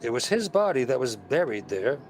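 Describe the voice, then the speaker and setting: A man playing a breezy radio detective, swooping pitch. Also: sing-song, brisk, slight background noise, close to an everyday voice. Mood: playfully wry. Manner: playful, wry, theatrical. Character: witty, breezy male detective, affectionate teasing husband. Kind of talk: vintage radio play acting.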